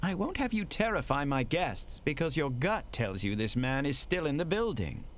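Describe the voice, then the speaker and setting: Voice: medium pitch, big pitch swings, normal speed, a little hiss or hum, close to an everyday voice. An actor playing a cautious, protective hotel manager in an audio drama, firm and sceptical.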